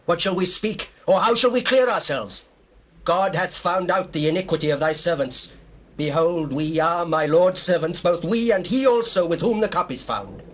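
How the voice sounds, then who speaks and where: Judah, a pleading brother. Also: male voice, high-pitched, expressive, moderate pace, fairly bright tone. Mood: anguished. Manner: earnest, supplicating, declamatory. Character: Judah, humble Hebrew brother pleading before a lord. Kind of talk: dramatized scripture, stage acting. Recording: noisy old cassette tape.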